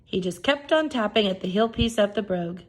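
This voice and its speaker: A woman reading a children's storybook aloud in expressive narration. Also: medium pitch, brisk, a little hiss or hum. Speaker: storybook reader.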